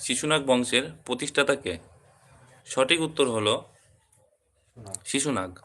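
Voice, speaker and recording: A man with a bright, forceful voice presents an exam quiz lesson. He speaks at a middling pitch and sets out each item in short, separate phrases, like a teacher. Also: animated, measured pace. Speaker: quiz teacher at a coaching centre. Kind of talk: quiz lesson, reading questions and answers.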